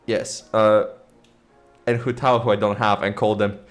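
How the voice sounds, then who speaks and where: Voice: mid-range voice, flat delivery, unhurried. A game streamer giving casual, teasing playthrough commentary in informal slang, with game music behind.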